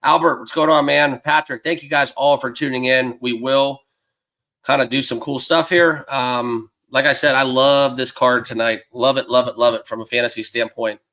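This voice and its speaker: A man hosting a sports betting livestream, slightly hoarse as he loses his voice, talking loosely with many fillers and greeting viewers by name. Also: mid-range voice, fairly even intonation, moderate pace, bright, forceful tone. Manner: loose and rambling, lots of fillers. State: losing his voice, a bit hoarse. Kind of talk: casual livestream chat. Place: dedicated home studio room.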